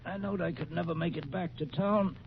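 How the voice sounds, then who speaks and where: An injured old prospector in a vintage radio western. He is tough and forgiving, with weary, faltering, folksy speech at a middling pitch. The recording is muffled, with faint noise behind him. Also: steady tone, brisk, creaky. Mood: wistful.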